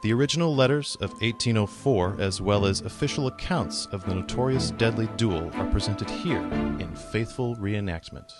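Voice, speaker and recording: A man giving steady, grave documentary-style narration. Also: fairly low pitch, normal speed, few pauses. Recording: voice-over over loud music.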